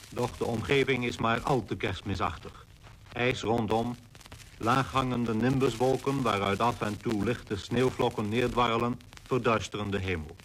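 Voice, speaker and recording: An actor in a 1940s radio play, playing a polar expedition member reciting his sombre diary in a measured manner, on an old disc. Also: mid-range voice, fairly bright tone, slight background noise, lightly acted, low-quality recording.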